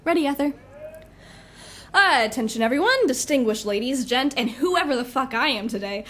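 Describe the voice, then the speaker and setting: A woman's voice: high-pitched, expressive, normal speed, a bit bright. A woman acting a brash, encouraging superpowered party host in an audio drama, breezy and teasing.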